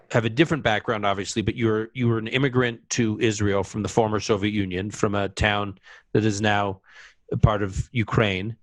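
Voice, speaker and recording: A male interviewer with an ordinary mid-range voice runs a live conversation. He moves briskly, eager to jump into the next question. The sound is dry and close, with no room around him. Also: medium pitch, dry recording. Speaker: interviewer and host.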